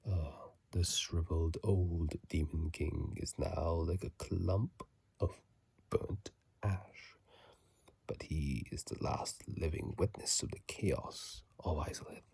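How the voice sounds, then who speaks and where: A man speaks in a husky, breathy murmur, often slipping into a whisper, close to a phone mic. His voice is very deep and dark, with slow, soothing delivery for ASMR game commentary. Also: fairly even intonation, no echo. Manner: soft, soothing, unhurried murmur with repeated phrases. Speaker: host of a gaming ASMR video. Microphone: phone microphone, close up.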